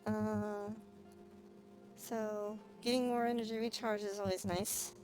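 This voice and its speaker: A woman's voice: mid-range voice, level tone, low-quality recording. Woman streamer chatting casually over game music. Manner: rambling, thinking aloud with hesitant pauses. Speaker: streamer, vtuber host. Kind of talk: casual game livestream chat and commentary. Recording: game music playing behind the voice. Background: video game music.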